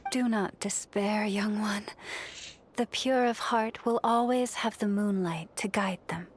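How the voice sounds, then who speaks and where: An actress playing a noble young priestess who speaks in a formal, solemn and compassionate way in a video game. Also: female voice, mid-range voice, fairly even intonation, a little slow, lightly acted. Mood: gentle, reassuring. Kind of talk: video game character dialogue.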